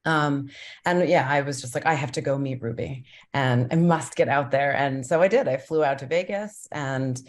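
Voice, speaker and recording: A woman from Colombia with a very deep voice talks about her film in a panel. She speaks thoughtfully, pausing and restarting her sentences. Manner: thoughtful, many ums and restarts. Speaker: documentary director. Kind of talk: panel conversation.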